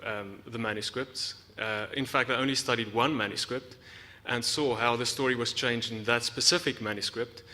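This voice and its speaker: A man from South Africa giving a prepared academic lecture, explaining in a measured way with deliberate emphasis. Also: mid-range voice, bright, forceful tone. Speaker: academic researcher giving a talk. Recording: reverberant hall.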